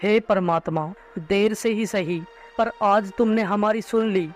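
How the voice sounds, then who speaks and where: Man playing a high-voiced, devout family man. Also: a little slow, faint music behind. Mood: grateful relief. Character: devout, anxious, pleading husband and father. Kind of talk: dramatised cartoon story dialogue.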